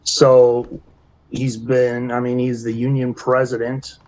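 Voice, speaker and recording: A middle-aged man with a Wisconsin accent, a chief deputy sheriff giving short formal sworn answers over a video call, his voice a little raspy from talking all day. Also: mid-range voice, completely flat delivery, slow, a little mellow, slight background noise, poor audio.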